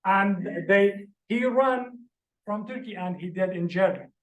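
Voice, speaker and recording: Earnest high-voiced man lecturing in an echoey room. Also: slow. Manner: earnest, explains terms one by one. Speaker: visiting lecturer. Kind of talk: academic lecture with slides.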